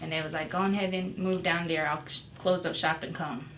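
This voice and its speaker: A woman's voice: fairly low pitch, a little fast, roomy sound, distant mic, a little hiss or hum. A woman in her twenties from New York, chatting casually as a guest and telling stories with lots of laughter. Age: 20s. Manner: animated storytelling, laughs often. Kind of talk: casual chat.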